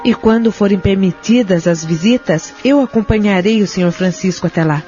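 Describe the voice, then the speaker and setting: A woman playing a calm, devout caretaker at a care home in an audio drama, with a Brazilian accent and a formal, reassuring way of talking. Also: medium pitch, moderate pace, lightly acted. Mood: polite concern. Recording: dull lo-fi audio, music behind.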